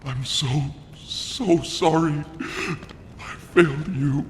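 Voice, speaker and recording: A man voicing a tormented, volatile monster in a horror video game, guilt-ridden and vengeful, speaking in halting fragments. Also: a little high, steady tone. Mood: broken, remorseful, bitter. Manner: halting, fragmented, swinging between muttering and shouting. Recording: cavernous reverb over ambient noise.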